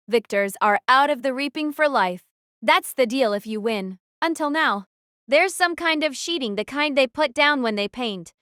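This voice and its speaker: A woman's voice: a little high, a bit bright, smooth, no room sound, studio quality. A woman acting in an audio drama as a troubled, defiant young survivor who narrates her own thoughts in a dramatic, introspective way. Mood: stunned, brooding.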